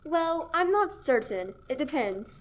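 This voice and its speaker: A calm, by-the-book female telephone operator, acted by a young woman in a school radio drama, answering in a high, even voice. The sound is dull and muffled, as if from a cheap microphone. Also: measured pace. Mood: polite. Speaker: student actor. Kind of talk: school radio play acting.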